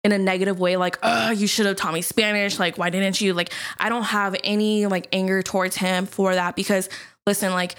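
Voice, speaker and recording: A young woman from the United States co-hosts a podcast in an easygoing chat. She talks at a medium pitch, laughs often, and fills her sentences with "like" and "you know". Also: level tone, fairly quick, a bit bright, clear, resonant tone. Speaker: podcast co-host. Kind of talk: casual chat.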